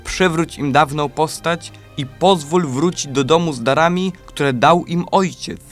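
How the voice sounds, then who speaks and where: A man's voice: on the high side, unhurried, a bit bright, faint music behind. A man playing a devoted, earnest and courteous young prince in a fairy-tale radio play. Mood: sad, pleading. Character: devoted young prince searching for his brothers.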